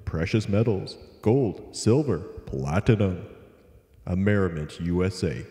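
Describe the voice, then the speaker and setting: A man's voice: low voice, lively intonation, a little slow, dark tone. A man playing a grave advertising announcer reading a scripted radio spot. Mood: grave, urgent. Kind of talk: scripted ad read. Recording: echoey voice with long reverb.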